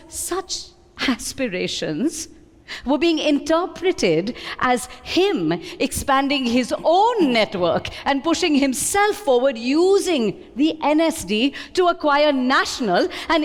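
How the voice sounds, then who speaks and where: A woman giving a dramatic book reading, with measured, expressive, theatrical delivery. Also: high voice. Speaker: reader at a book event. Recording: reverberant hall.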